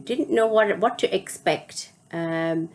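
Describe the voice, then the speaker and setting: A woman from India, hosting her own spiritual channel and telling a personal story in a reflective, halting way. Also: mid-range voice. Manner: reflective, halting, searching for words.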